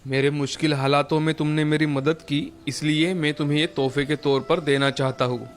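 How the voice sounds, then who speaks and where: A man playing a tired, gentle old holy man who speaks in a fatherly way in an animated moral story. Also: fairly high pitch, normal speed, soft background music, natural voice. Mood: kindly, grateful. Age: older.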